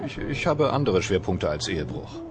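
An actor playing a dry, terse blind ex-policeman turned private detective in a crime radio play, deadpan and sardonic. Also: low voice, moderate pace.

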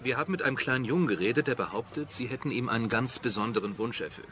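A man's voice: mid-range voice, lively intonation, very fast, sharp tone. An actor playing a tough private detective in his forties, matter-of-fact and insistent, in a crime radio drama. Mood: businesslike.

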